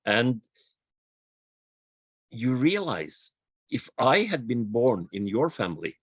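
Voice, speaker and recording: A man speaking as a panelist in a webinar, slow and measured, repeating phrases for emphasis over a video call. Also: medium pitch, dry recording. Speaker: dialogue practitioner, panelist. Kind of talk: webinar talk, reflective.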